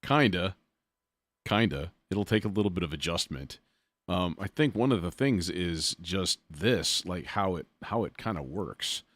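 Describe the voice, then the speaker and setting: A man with a very low voice walks through a music production demo in an unhurried way. He thinks aloud casually, muttering to himself and using folksy turns of phrase. The delivery is slow and informal. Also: expressive, fairly bright tone, dry recording. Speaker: music production tutorial host.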